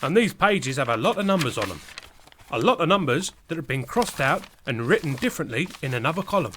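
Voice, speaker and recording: A sly, cheeky lowborn sidekick played by a man with a British accent in an audio comedy. He talks in chummy slang, and his slightly high voice bounces around with lively inflection. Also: fairly quick, slight background noise. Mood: sly teasing. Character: cheeky lowly male castle guest, chummy and sly. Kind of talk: comedy audio drama acting.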